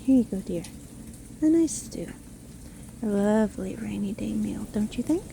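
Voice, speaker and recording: A woman playing a seemingly kindly, sly witch who lives alone in a forest, speaking gently and unhurriedly in a fantasy audio roleplay. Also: mid-range voice, lively intonation, moderate pace, gravelly, a little hiss or hum. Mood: coaxing, hospitable. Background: rain storm ambience.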